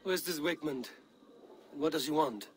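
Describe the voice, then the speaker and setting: Male actor as a viking hero guy, slightly high voice, blunt and purposeful, faint background noise. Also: normal speed, natural voice. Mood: inquiring, steady. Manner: terse, direct. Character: steadfast Viking raider hero, direct and purposeful. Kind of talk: dramatic video game acting.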